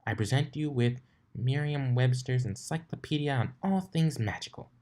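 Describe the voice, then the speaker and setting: A bookish young unicorn mare from a storybook, played by a man, speaks in a raised pitch. She is fussy and cautious, explaining things with some hesitation. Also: moderate pace, darkish tone, lightly acted. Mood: cautious, earnest.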